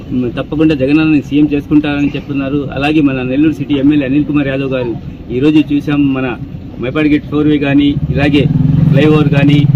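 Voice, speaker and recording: A man with an Andhra Telugu accent gives an earnest campaign statement as a political candidate, outdoors on a town street in a muffled, noisy recording. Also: a little high.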